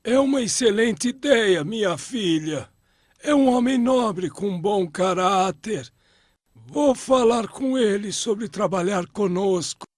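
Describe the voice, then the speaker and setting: A kindly old father from a cartoon tale acted by a man, with a high, husky voice. He is protective and measured, questioning and reassuring. The sound is dry and close. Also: animated, no room sound, full character voice. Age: older. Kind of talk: acted cartoon dialogue in a religious story.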